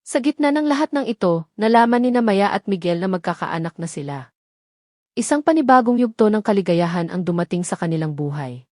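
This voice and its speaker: A woman's voice: mid-range voice, animated, a little fast, warm tone, no room sound, studio quality. A woman narrator reading a scripted dramatic story.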